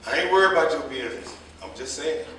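An actor playing a nosy, argumentative gossip of a cab driver in a staged play reading, needling and defensive. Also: male voice, fairly high pitch, expressive, fast talker, sharp tone. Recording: echoing room from far off, faint noise.

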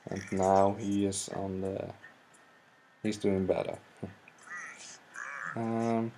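A young man from the Netherlands with a Dutch accent, hesitantly commenting on his own card game match in halting English. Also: sits low, level tone, gravelly, warm tone. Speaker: gaming channel host. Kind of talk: gameplay commentary. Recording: noisy home mic recording.

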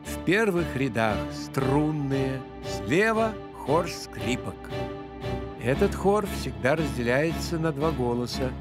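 An actor playing an elegant grasshopper conductor in a children's cartoon, teaching patiently in a theatrical, measured manner. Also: male voice, mid-range voice, animated, over music. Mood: patient, explanatory.

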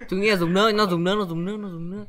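A gamer bantering with friends mid-match in a medium-pitched voice. Short phrases get repeated in eager bursts. Light music and key clicks sit underneath. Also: steady tone, very fast. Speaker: gamer playing Bedwars with friends. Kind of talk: excited gaming banter.

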